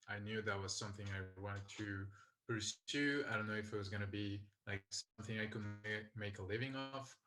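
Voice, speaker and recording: Brief: young Colombian-accented man, bright tone. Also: on the low side, poor audio. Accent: Colombian Spanish. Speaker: guest, skydiving videographer. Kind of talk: casual interview talk.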